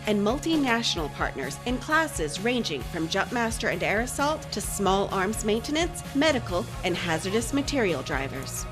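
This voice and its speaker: A woman reading a scripted official promotional narration in a formal, measured announcer manner. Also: a little high. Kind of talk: scripted corporate military video narration. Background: music bed.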